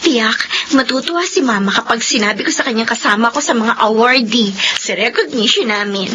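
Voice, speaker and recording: A woman's voice: fairly high pitch, expressive, brisk, natural voice. A woman playing a caring, anxious young daughter in a Filipino radio drama, earnest and emotional. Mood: cheerful. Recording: muffled, noisy radio drama recording.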